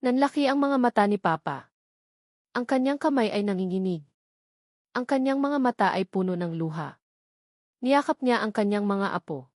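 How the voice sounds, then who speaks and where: A woman's voice: mid-range voice, lively intonation, normal speed, pauses often, no echo, clean studio sound. A woman reading a scripted dramatic story in an earnest, sentimental manner. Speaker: story narrator.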